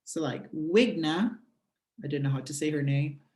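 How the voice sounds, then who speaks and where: A speaker whose first language is Portuguese gives a step-by-step app tutorial in an explanatory, halting way, often pausing on "uh". The voice sits at a middle pitch. The recording carries a noticeable roomy echo. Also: a little mellow. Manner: explanatory, halting with frequent "uh" fillers. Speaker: presenter demonstrating a language-exchange app. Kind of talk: screen walkthrough tutorial.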